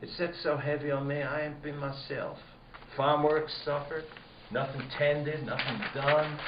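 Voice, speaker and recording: An actor playing a middle-aged rural sheriff in a radio play, speaking a folksy rustic dialect in a deliberate, roundabout way. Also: male voice, fairly high pitch, level tone, natural voice. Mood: burdened. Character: slow-talking rural sheriff, folksy, probing and insinuating. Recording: dull, roomy, faint background noise.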